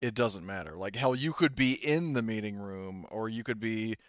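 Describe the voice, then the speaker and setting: A low-pitched man runs a tabletop roleplaying game, talking through the setup in a casual way. The recording is close and dry, slightly dull. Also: moderate pace, no echo. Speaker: game master.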